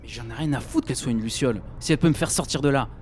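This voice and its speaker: An actor playing a cynical, restless young conscript in an audio drama, slangy and scornful. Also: male voice, mid-range voice, rapid-fire, darkish tone, a little hiss or hum, lightly acted. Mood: fed up.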